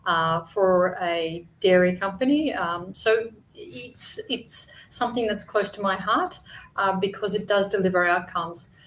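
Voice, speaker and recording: A woman with an Australian accent giving an online presentation as a guest speaker, chatty, with frequent fillers and restarts. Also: mid-range voice.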